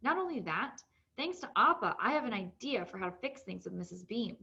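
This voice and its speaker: A woman's voice: medium pitch, very animated, brisk, vocal fry, sharp tone. Woman reading aloud, slightly dull lo-fi sound. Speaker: read-aloud narrator. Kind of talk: scripted narration of a children's novel.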